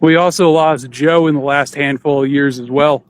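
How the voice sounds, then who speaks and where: A man's voice: a little high, steady tone, moderate pace, a little hiss or hum. A man hosting a podcast in a casual, conversational way. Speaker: podcast host.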